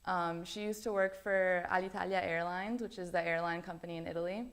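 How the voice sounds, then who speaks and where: A woman's voice: on the low side, steady tone, fairly quick, clear, resonant tone. A young woman, a college student, introducing herself casually with lots of ums and likes. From Belgium. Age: young adult. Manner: chatty, many ums and likes.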